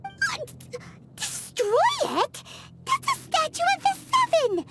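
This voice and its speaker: A woman voicing a tiny, excitable childlike fairy companion in a video game, with squeaky, exclamatory, naive delivery. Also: slow, heavily acted. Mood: shocked, exasperated. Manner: exclamatory, squeaky cartoon delivery, refers to herself in third person. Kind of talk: English video game voice acting. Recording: loud music behind the voice.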